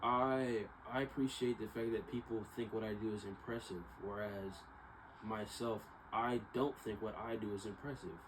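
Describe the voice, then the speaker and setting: A man's voice: lowish voice, moderate pace. A young man talking casually to viewers in a rambling, reflective monologue, hesitant and often circling back over his points. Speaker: vlogger. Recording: clear steady background noise.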